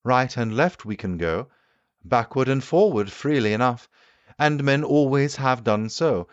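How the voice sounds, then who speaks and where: A man voicing an eager, assured Victorian gentleman inventor lecturing his guests in an emphatic, declamatory way, as in an audiobook. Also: mid-range voice, expressive, measured pace, dry recording, lo-fi sound, voice pushed up, natural voice. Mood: challenging, assured. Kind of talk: audiobook character acting.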